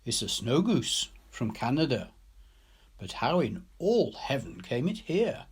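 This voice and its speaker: Storybook role: tender male recluse, acted by a narrator, husky, soothing, concerned, calmly in charge. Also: medium pitch, a little slow, pitched above the performer's own voice, exaggerated voice. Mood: kind, concerned. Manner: gentle, reassuring. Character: kind, gentle hunchbacked male recluse painter, softly authoritative.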